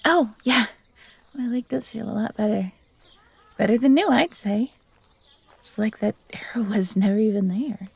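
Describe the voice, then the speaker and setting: A woman voicing a young fox girl, timid but eager and grateful, soft and breathy, in a scripted audio roleplay. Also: on the high side, normal speed, pauses often, no echo. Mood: mildly grumbling, upbeat.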